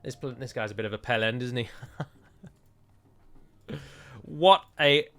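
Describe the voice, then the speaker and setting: Let's play host: man, mid-pitched, chatty, wry and self-deprecating. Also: a little slow, a bit bright, clear, resonant tone, soft background music. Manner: chatty, self-mocking, jokey asides. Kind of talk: casual gameplay commentary.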